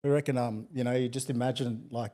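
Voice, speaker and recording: Mellow, flat-voiced co-host. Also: male voice, medium pitch, quick pace. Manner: laid-back, conversational. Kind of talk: casual show hosting.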